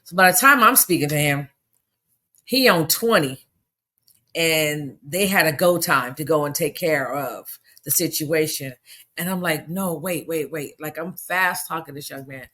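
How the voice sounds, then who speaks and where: A hoarse woman hosting her own podcast, talking in an animated, indignant and emphatic way. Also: lowish voice. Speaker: podcast host. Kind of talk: casual monologue podcast.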